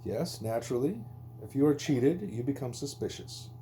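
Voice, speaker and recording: A man's voice: mid-range voice, expressive, normal speed, warm tone, a little hiss or hum. A man reading a scripted interview aloud as an audiobook narrator. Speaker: audiobook reader.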